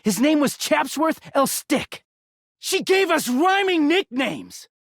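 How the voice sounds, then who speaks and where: A man's voice: extremely high pitch, big pitch swings, takes it slowly, vocal fry, no echo, studio quality, exaggerated voice. Bitter guy with wide-swinging delivery. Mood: aggrieved, bitter. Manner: sardonic, foul-mouthed, swinging from muttering to shouting. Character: bitter, exasperated young male dirty-laundry character in toxic romance. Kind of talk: video game voice acting.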